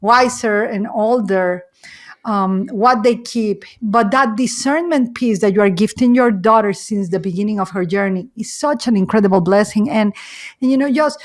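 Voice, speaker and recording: Effusive woman host with non-native accent. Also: mid-range voice. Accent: non-native English. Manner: effusive, halting, repeats phrases. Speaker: podcast host. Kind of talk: conversational podcast interviewing.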